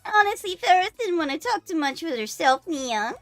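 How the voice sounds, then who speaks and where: A woman's voice: very high voice, very animated, moderate pace, fairly bright tone, faint music behind, full character voice. A woman voicing a cutesy, playful cat-ear maid girl from a visual novel. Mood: earnest.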